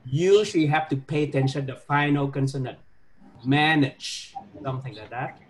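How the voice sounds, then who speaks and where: A man with a Vietnamese accent giving halting, repetitive classroom feedback on pronunciation, often tagging phrases with a questioning "huh?". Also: mid-range voice. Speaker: medical English teacher.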